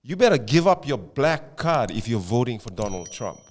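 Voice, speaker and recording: Opinionated man slowing down to make a point. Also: medium pitch, big pitch swings, a little hiss or hum. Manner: opinionated, stretches words for emphasis, asks "right?". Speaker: podcast co-host. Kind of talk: casual conversation.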